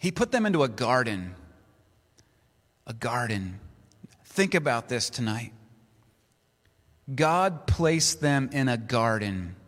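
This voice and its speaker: A man preaching a sermon, reading scripture aloud in a slow, deliberate way. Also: medium pitch, very animated, a bit bright. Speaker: pastor. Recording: long reverb of a large hall.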